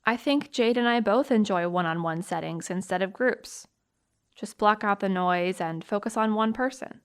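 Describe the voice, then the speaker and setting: A woman acting a thoughtful, forgiving teenage girl who gives measured testimony in an audio drama. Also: medium pitch, clean tone, natural voice. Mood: reflective. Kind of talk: audio drama monologue, police interview testimony.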